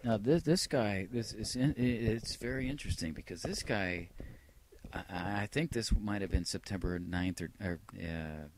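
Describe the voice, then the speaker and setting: A man talking casually and reflectively about his own old tapes, halting and rambling with a wry edge. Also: low-pitched, a little mellow, a little hiss or hum. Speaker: vlogger playing old answering machine tapes. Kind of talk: casual rambling personal narration.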